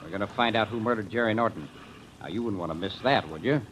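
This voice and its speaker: An actor as a hard-boiled 1940s radio investigator. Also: male voice, on the low side, quick pace, a bit bright, lightly acted. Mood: brisk, commanding. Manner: commanding, clipped, insistent. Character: hard-boiled, confident male investigator pressing suspects. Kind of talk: 1940s radio mystery acting. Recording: noisy, muffled old radio recording.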